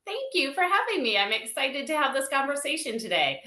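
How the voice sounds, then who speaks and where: A woman's voice: high-pitched, big pitch swings, fairly quick, bright, forceful tone. A woman speaking as an interview guest, explaining her company's work in a conversational way. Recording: echoey room sound.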